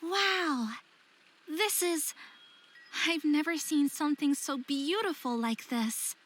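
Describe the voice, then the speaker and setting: A woman's voice: high-pitched, slow pace, fairly bright tone, a little hiss or hum. An actress playing a young part-faerie woman in a visual novel game, apologetic and wary, with a hesitant, earnest way of talking. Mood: thoughtful, curious.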